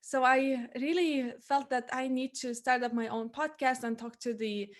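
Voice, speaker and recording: A woman's voice: high voice, fairly even intonation, normal speed. A young woman from Lithuania with a Lithuanian accent, hosting a conversational podcast interview in an earnest, explanatory manner.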